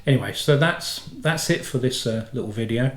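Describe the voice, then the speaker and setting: A man's voice: medium pitch, normal speed. A middle-aged man with a head cold and a slightly nasal voice, chatting casually and correcting himself as he hosts a motorhome channel. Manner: chatty, rambling, self-correcting. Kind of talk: casual chat. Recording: echoey room.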